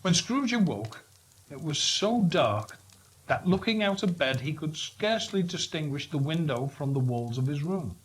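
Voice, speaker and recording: A man reading a storybook aloud in an expressive narration, with measured, deliberate and dramatic phrasing. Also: on the high side, slightly rough, low-quality recording. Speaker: story reader.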